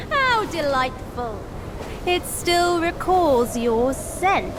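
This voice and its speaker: A woman voicing a sly, gloating demon master in a video game cutscene, speaking in a slow, mocking, purring way. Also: extremely high pitch, exaggerated voice. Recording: game cutscene with loud ambient noise.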